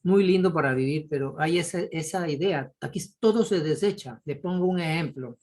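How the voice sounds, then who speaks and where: A man's voice: on the high side, normal speed. A man from Ecuador, a course participant, talking casually and animatedly in an online class, exclaiming as he tells examples. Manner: apologetic, animated storytelling with exclamations. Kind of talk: casual video-call discussion.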